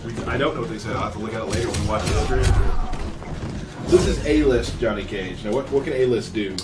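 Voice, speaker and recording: A man's voice: lowish voice, fast, over music. A man hosting a game stream with informal, conversational chat and commentary. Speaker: stream host. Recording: distant mic, clearly noisy background.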